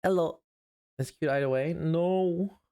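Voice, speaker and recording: A man's voice: fairly high pitch, measured pace, mellow, smooth. A man live-streaming, chatting casually with his viewers, thanking subscribers and rambling with laughs and restarts. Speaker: livestreamer.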